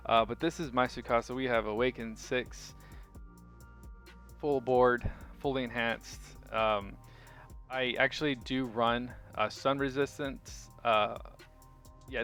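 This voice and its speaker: A man's voice: mid-range voice, a bit bright. A man giving a casual game guide commentary as a video host, chatty and informal, thinking aloud as he goes.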